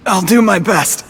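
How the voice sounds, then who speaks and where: Timid polite game character, high voice, really animated, bit wry. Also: male voice, a little hiss or hum, heavily acted. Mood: flustered, apologetic. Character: meek-sounding, apologetic man, wry underneath. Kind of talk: video game character acting.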